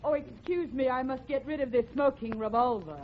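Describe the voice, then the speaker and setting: An actress playing a melodramatic housewife murderess in 1940s radio comedy, with a theatrical delivery. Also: female voice, high-pitched, brisk, close to an everyday voice. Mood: brisk, matter-of-fact. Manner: theatrical, overwrought. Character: melodramatic housewife murderess, breezy then distraught. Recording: dull 1940s radio recording, audience, hiss.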